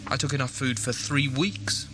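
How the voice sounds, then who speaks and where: An actor playing a wry, bemused travelling companion in time and space in a science fiction audio drama. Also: male voice, medium pitch, moderate pace, noisy background, natural voice.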